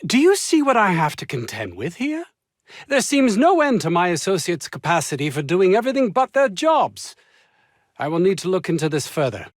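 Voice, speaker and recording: A haughty, ambitious scientist from a video game. His voice sits fairly high for a man and swings expressively, with fussy, formal diction and an anxious edge. The sound is dry and close. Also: huge pitch swings, moderate pace, no room sound, heavily acted. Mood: scornful, exasperated. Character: pompous, ambitious, anxious male research scientist. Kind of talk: theatrical game dialogue.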